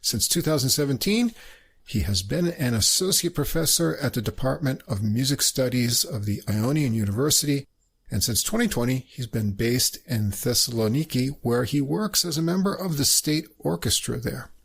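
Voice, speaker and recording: A man with a gravelly, slightly husky voice hosts a music podcast. He reads out credits and walks through the tracks in an informative, even manner. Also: mid-range voice, moderate pace. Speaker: podcast host. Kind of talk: reviewing music recordings.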